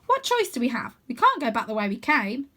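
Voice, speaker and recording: A woman's voice: a little high, wildly swooping pitch, brisk, sharp tone, voice pushed up, exaggerated voice. A woman voicing a feisty young girl on a storybook adventure, blunt and exasperated in manner. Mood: resigned.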